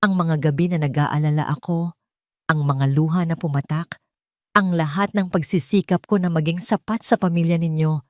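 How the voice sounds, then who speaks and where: A woman's voice: low voice, fairly even intonation, normal speed, dry recording, clean studio sound, lightly acted. Woman voicing a bitter, defiant betrayed young wife. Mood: furious. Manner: accusing, pleading rhetorical questions.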